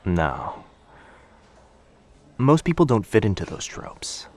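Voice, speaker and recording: A man acts out a shy, bookish young man in a boyfriend ASMR audio drama. His voice is low, with a creaky fry at its edges. He speaks softly and breathily, hesitant and gently nervous. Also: very animated, slow, exaggerated voice. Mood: shy, reflective. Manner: hesitant, soft-spoken, breathy, self-effacing. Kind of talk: intimate boyfriend ASMR roleplay.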